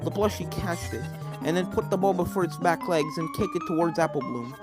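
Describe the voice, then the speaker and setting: A woman with a somewhat low voice narrates a scripted fan fiction story. Video game music plays clearly behind her reading. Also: brisk.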